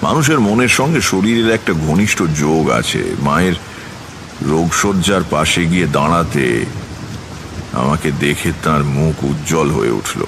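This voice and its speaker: A man narrating a scripted horror tale in the first person, in a measured, literary manner. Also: a bass voice, expressive, gravelly, background noise. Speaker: storyteller and lead narrator.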